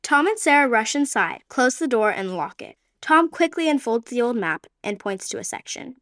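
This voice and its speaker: A woman's voice: fairly high pitch, big pitch swings, normal speed, fairly bright tone, no room sound, clean studio sound. A woman reading scripted narration for an adventure radio play.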